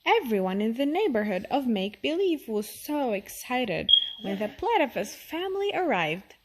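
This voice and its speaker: A woman reading a children's storybook aloud, expressive and addressing the young listener directly. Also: on the high side, moderate pace, very noisy. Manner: expressive, asks the listener questions.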